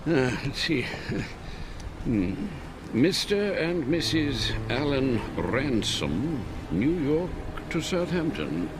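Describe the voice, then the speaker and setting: An actor playing an elderly British gentleman who is fussy and flustered, stammering and blustering in a radio drama. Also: mid-range voice, big pitch swings, slow pace, background noise. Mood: prying. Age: older. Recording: music bed behind dialogue.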